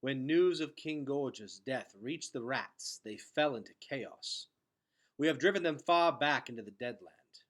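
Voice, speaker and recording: A man voicing a solemn, firm soldier in a storybook, with formal, measured phrasing. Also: mid-range voice, animated, close to an everyday voice. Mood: grave, firm. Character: solemn, firm male soldier of underground kingdom.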